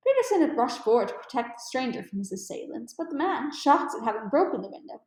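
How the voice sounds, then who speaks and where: A woman's voice: a little high, roomy sound, low-quality recording. A woman voicing a keen, amused male detective with precise, formal and emphatic speech in a storybook read-aloud.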